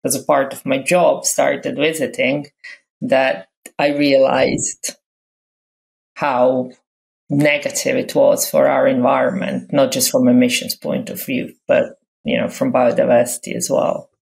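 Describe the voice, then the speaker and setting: A woman from Croatia in her fifties, speaking English as a later language, talks through her background as a podcast guest. Her voice sits very low for a woman. She is reflective and stops often with fillers and restarts. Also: level tone, measured pace, gravelly, a little mellow, low-quality recording. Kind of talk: casual interview talk.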